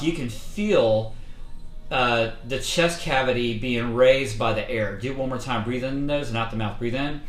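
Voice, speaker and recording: Male vocal coach, mid pitch, casual livestream lesson full of fillers, roomy echo. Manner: relaxed, conversational, many fillers. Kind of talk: casual instructional talk. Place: indoors, livestream setup.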